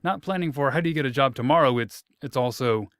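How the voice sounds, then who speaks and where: Man, interview host, smooth resonant voice, thoughtful, rambling, self-mocking jokes, dry close sound. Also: mid-range voice, fast talker, a bit bright, no echo. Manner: thoughtful, wordy, repeats himself, light self-mocking jokes. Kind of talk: casual interview chat.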